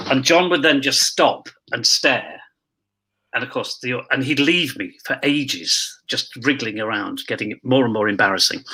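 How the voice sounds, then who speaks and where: Bright-voiced man telling casual anecdotes. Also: medium pitch, low-quality recording. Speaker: pantomime dame performer, interview guest.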